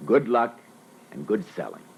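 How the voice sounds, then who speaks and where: A man's voice: fairly low pitch, wildly swooping pitch, moderate pace, creaky, husky. A man speaking as a panel spokesman, giving scripted motivational instruction on a sales training record. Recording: dull, muffled old reel-to-reel tape.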